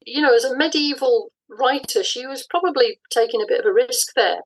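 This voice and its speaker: A woman's voice: high-pitched, a little fast, low-quality recording. A woman in her sixties speaking as an interview guest, reflecting and explaining thoughtfully in a conversational way. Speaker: interview guest, audio drama writer.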